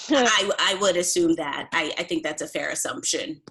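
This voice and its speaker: A woman's voice: low voice, unhurried, sharp tone, breathy edge, heavily acted. A woman playing a smitten but insecure thirty-year-old date in a comedy table read. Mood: insecure, needling.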